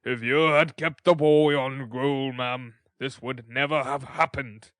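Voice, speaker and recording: A man's voice: mid-range voice, steady tone, unhurried, a bit bright, no room sound, studio quality, lightly acted. A man voicing a pompous middle-aged parish beadle who lectures sternly. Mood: stern lecturing. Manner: stern and self-important.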